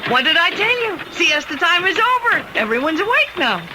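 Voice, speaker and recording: A woman's voice: very high-pitched, sing-song, normal speed, very noisy. An actress playing an anxious but practical woman traveler, earnest and imploring, in an old-time radio drama. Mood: hopeful, brisk.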